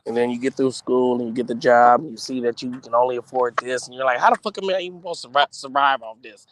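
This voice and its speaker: A man in his forties co-hosting a podcast, slangy, joking and animated in casual chat. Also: mid-range voice, fast, no echo, poor audio. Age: 40s. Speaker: podcast co-host.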